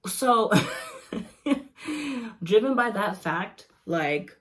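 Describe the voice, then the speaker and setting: A young woman chatting casually to her viewers in a rambling review, laughing often and filling pauses with "like" and "um". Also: fairly high pitch, lively intonation, a bit bright. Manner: chatty, giggly, rambling with many fillers. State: losing her voice. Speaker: vlogger reviewing comics.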